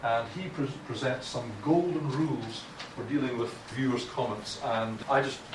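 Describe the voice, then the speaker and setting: A man's voice: medium pitch, moderate pace. Scottish male lecturer, blunt, informal, lots of restarts; distant mic, room echo. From Scotland. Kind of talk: academic writing lecture. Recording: echoey room from far off, noisy.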